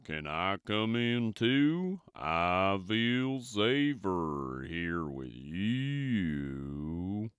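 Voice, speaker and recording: A man voicing a gentle cow with a slow, drawling delivery for a storybook reading. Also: sits low, very animated, clean tone, no room sound, clean studio sound, heavily acted. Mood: timid.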